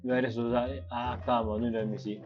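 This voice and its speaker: A woman's voice: rumbling low, flat delivery, fairly quick. A woman who is not a native English speaker, giving casual, hesitant, reactive commentary as she streams a game. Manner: chatty, reactive, hesitant phrasing. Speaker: gaming streamer. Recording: slightly dull mic, game music behind.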